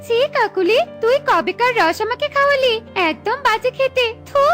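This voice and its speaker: A woman doing a shrill fussy cartoon parrot. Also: expressive, moderate pace, fairly bright tone. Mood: disgusted. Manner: disgusted, complaining. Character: fussy complaining female parrot. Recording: with light background music.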